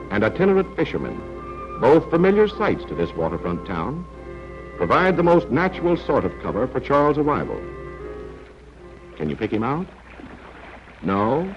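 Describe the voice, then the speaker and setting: An American man playing a sardonic 1940s training film narrator who needles with rhetorical questions, on an old film soundtrack. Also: mid-range voice. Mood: measured, instructive. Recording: muffled old wartime film soundtrack, music behind.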